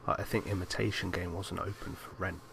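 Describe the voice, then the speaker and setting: A man's voice: sits low, level tone, fairly quick, vocal fry, a crowd in the background. A man co-hosting a podcast, chatting casually about films. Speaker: podcast co-host. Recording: noisy amateur podcast recording.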